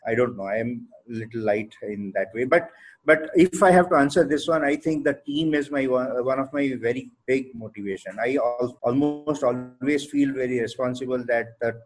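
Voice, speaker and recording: A man with an Indian English accent, a company co-founder answering interview questions thoughtfully, with many pauses and fillers. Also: mid-range voice, low-quality recording. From Odisha, India.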